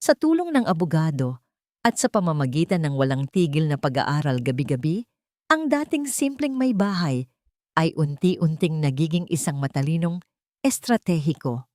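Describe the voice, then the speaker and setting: A woman's voice: low voice, sing-song, moderate pace, darkish tone, no room sound, studio quality. A woman with a Filipino accent narrating a dramatic scripted story.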